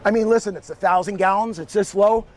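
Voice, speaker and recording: A man's voice: high voice, fast talker, a little hiss or hum. A plain-spoken man giving an unscripted, matter-of-fact technical explanation as an expert guest. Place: outdoors at a convention.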